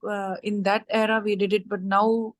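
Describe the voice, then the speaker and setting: A woman with an Indian accent takes part in an online class, raising questions in casual speech. Her pitch is average for a woman, and the sound is dry, free of room echo. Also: flat delivery, moderate pace. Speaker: class participant asking questions. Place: online video call.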